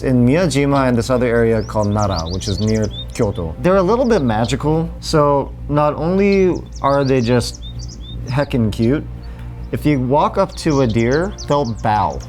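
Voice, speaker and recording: A man's voice: mid-range voice, expressive, moderate pace, a little mellow. A young man from New Jersey, a frequent traveler, explaining places in an upbeat, informative way. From New Jersey, United States. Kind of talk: casual travel chat. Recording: music playing underneath.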